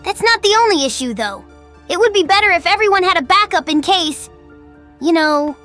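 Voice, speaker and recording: A quick-witted young female animal character, voiced by a woman in a comic dub. The voice is high, bright and direct, with music underneath. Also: lively intonation. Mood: concerned.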